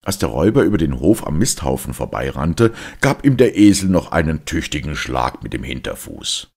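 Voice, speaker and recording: A man calmly reading a fairy tale aloud as narrator. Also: extremely low pitch, moderate pace, vocal fry, slightly rough.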